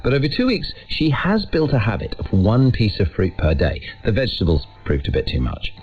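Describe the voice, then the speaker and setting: A man's voice: on the low side, huge pitch swings, fairly quick. A man presenting a documentary, moving between scripted narration and casual interview questions. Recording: muffled, lo-fi radio sound.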